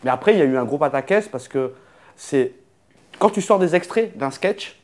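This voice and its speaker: A man's voice: fairly high pitch, lively intonation, normal speed, background noise. A young adult man, a comedian guest, talking in a casual conversation and weighing his words carefully.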